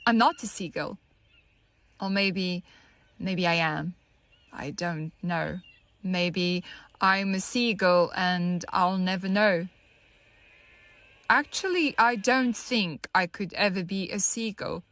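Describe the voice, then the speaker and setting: A woman playing a troubled, lonely young woman in an audio monologue, halting, wry and conversational as she muses bitterly. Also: lowish voice, a bit bright, dry recording. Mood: wistful, hesitant. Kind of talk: dramatic monologue, audio drama.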